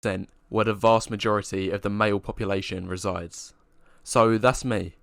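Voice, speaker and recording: Flat-voiced young man reading about himself. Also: fairly low pitch, normal speed, vocal fry, dry recording, studio quality. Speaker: vlogger describing himself. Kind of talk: scripted self-description monologue.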